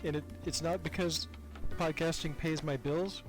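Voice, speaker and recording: Older man with a higher-pitched voice, chatting. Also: fairly even intonation, moderate pace, over music. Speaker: podcast guest. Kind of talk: casual interview chat.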